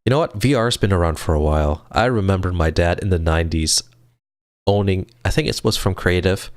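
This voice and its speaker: A man's voice: deep voice, fairly quick. A man in his twenties chatting casually on a live game stream, reading chat aloud and chuckling now and then. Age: 20s. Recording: game music playing behind the voice.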